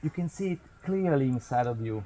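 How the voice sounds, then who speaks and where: A man leading a guided meditation outdoors, speaking in measured short phrases with pauses between them. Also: medium pitch, lively intonation, mellow.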